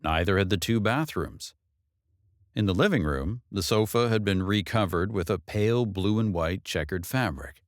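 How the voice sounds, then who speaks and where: Low male audiobook narrator, reads a story with lots of pitch movement, really dry close sound. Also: lively intonation, moderate pace, dry recording. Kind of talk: scripted narration of a novel.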